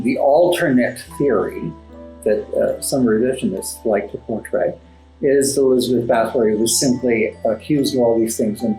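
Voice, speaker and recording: A man speaking as an interview guest, explaining and telling stories in a thoughtful, halting way with emphatic asides. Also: mid-range voice, a little mellow. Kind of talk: conversational storytelling and explaining in an interview. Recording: roomy echo, music underneath. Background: classical background music.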